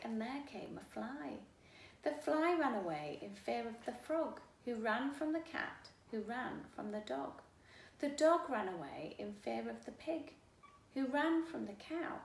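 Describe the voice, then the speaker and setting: A middle-aged woman reads a children's storybook aloud in a deliberate, expressive manner, recorded in a living room from a few feet away. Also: on the high side, creaky. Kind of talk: read-aloud storytime narration. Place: small living room, indoors. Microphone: camera a few feet away. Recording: roomy, distant mic, faint hiss.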